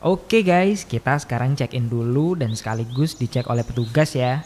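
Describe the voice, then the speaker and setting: A man playing an earnest, polite college student who explains and smooths things over, in an animated story. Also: mid-range voice, normal speed, slight background noise, close to an everyday voice. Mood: earnest, cautious. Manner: conciliatory, explanatory. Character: earnest, polite male college student, group's careful planner. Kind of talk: animated horror story dialogue.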